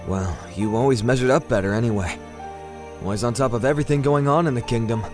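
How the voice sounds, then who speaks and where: A man's voice: mid-range voice, fast talker, music in the background, natural voice. A young man acting as a reluctant, self-doubting prince in an audio drama, speaking in a casual, hesitant, brooding way. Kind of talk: audio drama acting and first-person narration.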